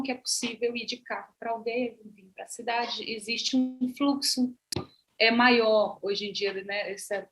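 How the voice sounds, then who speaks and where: A woman's voice: mid-range voice, a bit bright, rough recording. Hesitant woman from Maranhão, Brazil. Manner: unhurried, hesitant, frequent "né" tags. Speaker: artist and actress, panel speaker. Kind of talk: panel talk, personal account.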